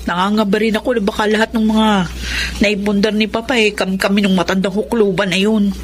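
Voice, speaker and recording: A woman's voice: medium pitch, level tone, fairly quick, a little hiss or hum, lightly acted. A woman playing a protective eldest daughter, confrontational and emotional, in a Filipino radio drama. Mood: anguished, bitter.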